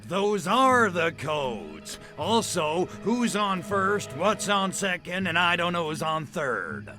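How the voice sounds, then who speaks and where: An actor playing a cocky, lecherous alien invader in a video game, delivering sardonic wisecracks full of innuendo. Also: male voice, high-pitched, very animated, slow, bright, forceful tone, faint music behind, full character voice. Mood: smug, joking. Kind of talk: video game cutscene acting.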